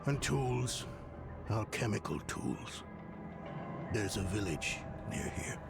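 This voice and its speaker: Aged, brooding lore-keeper for a fantasy game: low creaky voice, expressive swings, loud score behind. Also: male voice, slow pace, loud music behind the voice, full character voice. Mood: worried. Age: older. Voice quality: gravelly. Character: weary, earnest old scholar of arcane lore. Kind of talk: dramatic video game acting.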